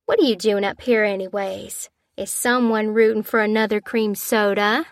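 A woman's voice: mid-range voice, little pitch movement, no room sound, natural voice. A gushing, playful mother of about thirty, acted by a woman narrator in an audiobook. She coos affectionately and draws out her words, in a dry, close recording. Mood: cooing, playful. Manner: gushing, cooing, drawn-out words. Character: gushing, playful thirty-year-old Southern American mother.

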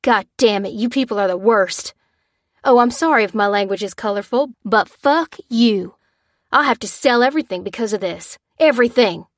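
A woman voicing a gruff, angry father in an audiobook, growling and barking with sarcastic exasperation. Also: medium pitch, normal speed, a bit bright, dry recording, clean studio sound, lightly acted. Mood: irate, sarcastic. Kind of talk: audiobook character dialogue.

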